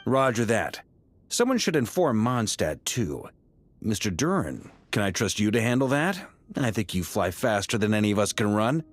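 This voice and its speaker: Casting: confident knightly leader for a game, authoritative, slightly deep, animated delivery. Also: male voice, normal speed, soft background music. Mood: upbeat. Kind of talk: video game dialogue acting.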